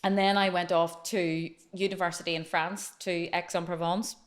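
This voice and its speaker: Woman, late 40s, chatty storyteller, slightly low voice, interview guest. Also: flat delivery. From Northern Ireland. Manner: chatty, fast-flowing storytelling. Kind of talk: casual podcast interview.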